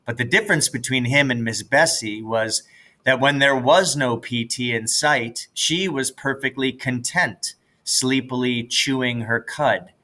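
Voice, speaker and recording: A man's voice: mid-range voice, unhurried, pushed and bright. A man reading a children's novel aloud in a storytelling narration, like a teacher reading to pupils. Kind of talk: scripted audiobook-style narration of a children's novel.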